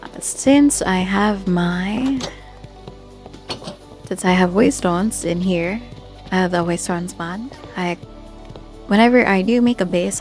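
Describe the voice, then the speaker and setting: A person giving casual gameplay commentary in a hesitant, halting way, often searching for words. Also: mid-range voice, darkish tone. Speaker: gamer narrating own Minecraft build tour. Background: background music.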